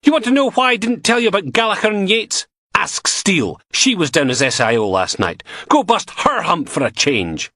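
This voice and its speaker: A man's voice: low-pitched, moderate pace, fairly bright tone, dry recording, clean studio sound. A man voicing a tired, sarcastic male detective sergeant. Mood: weary. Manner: sardonic, defiant.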